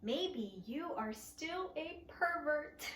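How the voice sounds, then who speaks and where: A woman's voice: sits high, animated, slow, pushed and bright, roomy sound. A woman who is a writer, performing a spoken word poem with a theatrical, emotional and mocking delivery. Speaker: poet, writer.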